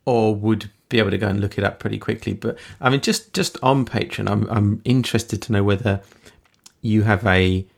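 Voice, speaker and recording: A man's voice: lowish voice, steady tone, moderate pace, gravelly. A man hosting a podcast interview who asks questions hesitantly, repeating his words. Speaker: podcast host.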